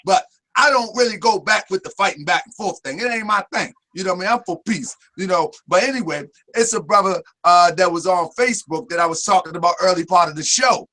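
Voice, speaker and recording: A man with a high, bright, sharp voice hosts a live stream talk show. He talks in a hyper, emphatic and preachy way, pressing hard on his points. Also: a little fast. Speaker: host.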